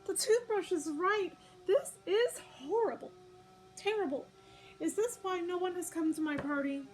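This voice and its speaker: A woman's voice: very high-pitched, a little slow, soft background music, pitched above the performer's own voice. A woman voicing a naive, wounded male pig in a children's storybook, in a plaintive, bewildered way. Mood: upset. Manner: plaintive, questioning. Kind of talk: children's storybook read-aloud.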